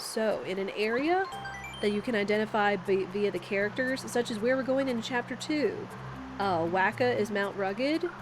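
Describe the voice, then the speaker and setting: A young woman who sounds congested and nasal from a cold, chatting casually while streaming a game with rambling, filler-heavy talk. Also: medium pitch. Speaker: gaming streamer. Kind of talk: casual live-stream commentary. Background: game music.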